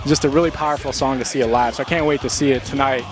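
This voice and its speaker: A man of average pitch interviews a musician in a casual way. His questions run long and wind around, full of "you know" and "uh". He is recorded at a music venue. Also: fairly even intonation, very fast. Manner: long, winding questions with fillers.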